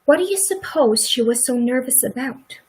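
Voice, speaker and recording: A woman in a radio play acting a middle-aged farm wife who is sympathetic, quietly indignant and plain-spoken in a folksy rural way. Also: high voice, expressive, normal speed, slightly rough. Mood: curious, fidgety.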